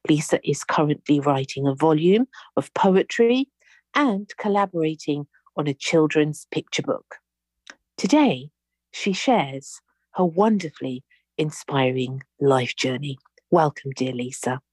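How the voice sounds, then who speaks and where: A woman hosting an interview, with a very low voice for a woman. She reads an introduction and greets her guest effusively. The recording is dry and close, with no room sound. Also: expressive, unhurried, darkish tone, no echo. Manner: effusive, gushing welcomes. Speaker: podcast host.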